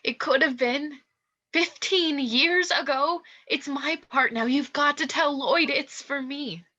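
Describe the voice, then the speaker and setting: Bright, scheming young actress played by a woman. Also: high-pitched, slow pace, full character voice. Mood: coolly menacing. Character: scheming young actress, falsely meek, coolly blackmailing. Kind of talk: radio play acting. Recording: dull, lo-fi voice track.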